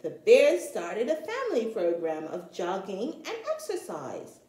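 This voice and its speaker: A woman reading a children's picture book aloud in an expressive, deliberate storytelling manner, as if to young children. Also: medium pitch, reverberant. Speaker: storytime reader, teacher-like.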